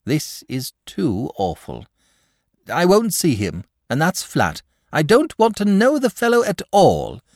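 A gentle, cowardly storybook dragon, voiced by a man reading aloud. He drawls lazily and solemnly, and his pitch rises and falls widely. The recording is dry and close. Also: wildly swooping pitch, measured pace, no echo, heavily acted. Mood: fretful.

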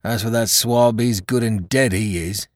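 A man voicing a wounded, sly, rough old pirate seaman with rustic, ungrammatical sailor talk in a storybook. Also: on the low side, measured pace, dry recording, clean studio sound, lightly acted. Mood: sour. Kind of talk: storybook character voice in audiobook.

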